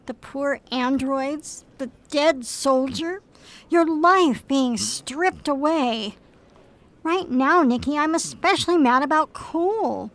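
A woman playing a calm, earnest female android partner in an audio drama, curious about humans, speaking in a measured way. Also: high-pitched, exaggerated voice. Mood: indignant, grieving.

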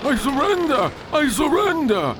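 An actor playing a terrified captive sailor pleading for mercy in a radio drama. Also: male voice, very high voice, very animated, a little slow, background noise, full character voice. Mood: frightened pleading.

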